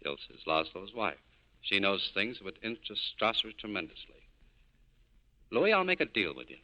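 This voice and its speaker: Vintage radio drama: tough, cynical American cafe boss, deep-pitched, clipped and guarded, muffled period recording. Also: male voice, normal speed, exaggerated voice. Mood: shrewd, bargaining. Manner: terse, tough, deadpan. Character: cynical, hard-boiled American cafe owner, guarded and shrewd. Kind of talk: 1940s radio drama acting.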